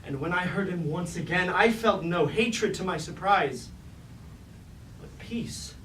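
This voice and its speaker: A treacherous, anguished robot underling acted by a man with a high-pitched voice. He declaims in archaic language like a tragic stage player, suspicious and resentful. The sound is echoey and picked up from a distance. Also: measured pace, a bit bright. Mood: haunted. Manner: theatrical, declamatory archaic speech. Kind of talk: staged reading of mock Greek tragedy. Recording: roomy, distant mic, faint background noise.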